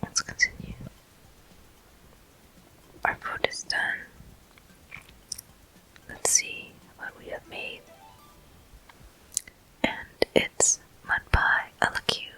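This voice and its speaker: A person whispering soothing, unhurried ASMR game commentary in a breathy voice, close to the microphone. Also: very high voice, poor audio. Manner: soothing, unhurried, softly encouraging. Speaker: game streamer.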